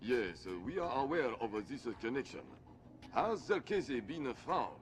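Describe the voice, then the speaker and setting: A man playing a formal Japanese admiral in a video game, speaking deliberately and a little haltingly. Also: mid-range voice, sing-song, lightly acted. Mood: guarded, formal. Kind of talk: video game cutscene acting.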